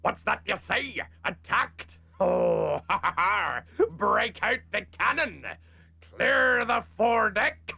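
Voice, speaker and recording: An actor playing a swaggering one-eyed old pirate captain, gravelly and raspy, with a hearty West Country pirate dialect full of drawn-out arrs. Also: male voice, high-pitched, lively intonation, pushed and bright, no echo, dull audio, full character voice. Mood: gleeful, boisterous. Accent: stage West Country pirate.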